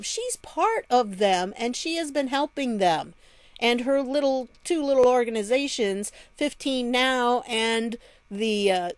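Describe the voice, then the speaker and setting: A woman's voice: a little high, no echo. An American woman giving casual news commentary, reading articles aloud in a wry, informal way with chatty asides. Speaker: online commentary host.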